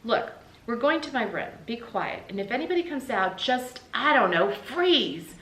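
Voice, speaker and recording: A woman's voice: on the high side, very animated, normal speed, a bit bright, voice pushed up. A woman voicing an anxious, exasperated young boy, a big brother, in a children's book read-aloud. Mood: flustered, urgent. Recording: roomy, noticeable background hiss.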